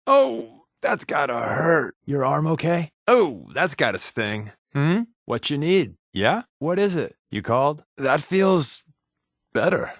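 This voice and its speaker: A guarded, flippant undercover agent, the player's companion in a video game. His mid-pitched voice moves freely up and down as he delivers wry, sarcastic remarks. The sound is very dry, free of any room echo. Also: fairly bright tone.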